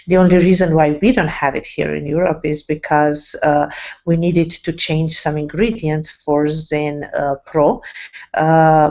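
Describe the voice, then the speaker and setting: A woman's voice: low-pitched, a little mellow, muffled recording. A woman speaking English as a second language, giving an online sales team presentation with many fillers and restarts and an enthusiastic manner. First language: not English. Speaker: network marketing presenter.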